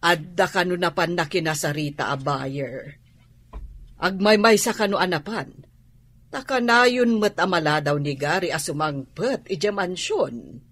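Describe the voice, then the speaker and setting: A woman's voice: lowish voice, a little slow, fairly bright tone. A woman with an Ilocano accent playing a worried mother in a radio drama.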